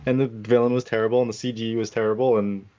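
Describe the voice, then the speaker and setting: A man's voice: mid-range voice, flat delivery, quick pace, dark tone, dull audio. A man co-hosting a podcast, casually critiquing films in a dismissive, conversational way. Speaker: podcast co-host.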